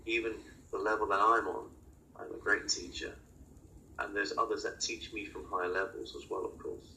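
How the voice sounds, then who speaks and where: A man delivering a spiritual channeling monologue as a guide, in halting, oracular short phrases with many pauses. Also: on the low side, flat delivery, pushed and bright. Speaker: channeled guide speaking in a session. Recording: roomy, distant mic with clear noise.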